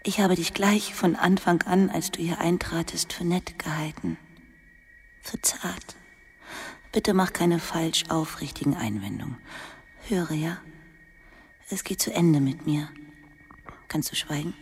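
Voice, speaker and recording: A woman playing a dying, sorrowful schoolmistress in an intimate radio drama, breathy, half-whispered, tender and confiding. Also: low voice, exaggerated voice. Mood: hushed, tender. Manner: slow, hushed, confiding, tender. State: weak, dying (acted).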